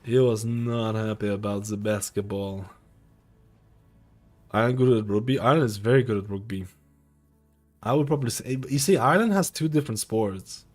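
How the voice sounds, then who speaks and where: A man's voice: on the low side, moderate pace, long pauses, darkish tone, soft background music. A man in his thirties from Lithuania, a streamer chatting casually with his viewers, rambling and repeating himself with lots of fillers. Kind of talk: casual live-stream chat. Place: home streaming room.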